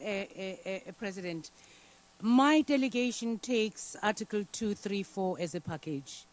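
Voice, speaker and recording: High-voiced delegate, man. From South Africa. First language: Xhosa. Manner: measured, deliberate, occasional fillers. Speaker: diplomatic delegate. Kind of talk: formal conference statement.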